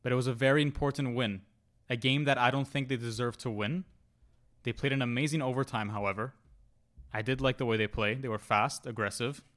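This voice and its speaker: A man's voice: medium pitch, steady tone, fairly quick, a bit bright. A man co-hosting a live sports podcast, chatting casually and confidently. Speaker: podcast co-host.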